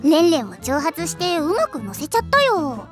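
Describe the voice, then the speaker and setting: A woman's voice: high voice, huge pitch swings, normal speed, soft background music. A woman voicing a playful, easygoing, childlike teenage girl in an anime, with a breezy teasing manner. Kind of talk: anime voice acting.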